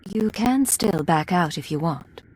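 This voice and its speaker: An actress playing a composed, resolute imperial agent in a video game, husky and measured in delivery. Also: female voice, lowish voice, animated, a little mellow. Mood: gentle, resolute. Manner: measured, formal, controlled.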